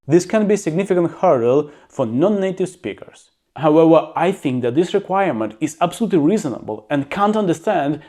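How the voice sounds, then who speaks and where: A man with a slightly high voice, speaking English as a second language. He reads facts in an even way, then gives his own blunt, opinionated commentary in a plain-spoken manner. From abroad, living in the UK. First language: not English. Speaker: YouTuber. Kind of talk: reading facts with personal commentary.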